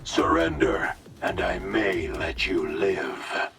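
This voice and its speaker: A man voicing a hulking cyborg nightmare villain in a video game, gravelly, taunting and contemptuous. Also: low voice, big pitch swings, distant mic, noisy background, exaggerated voice. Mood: menacing taunts. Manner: taunting, contemptuous, slow and deliberate.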